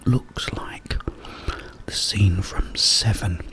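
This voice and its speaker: A British man whispering a casual, rambling ASMR story up close to the microphone, with pauses. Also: lowish voice. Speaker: ASMR video creator.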